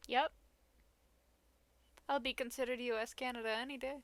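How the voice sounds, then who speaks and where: High-pitched, playful woman podcaster. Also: flat delivery, moderate pace, dry recording. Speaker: podcast co-host. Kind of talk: casual banter.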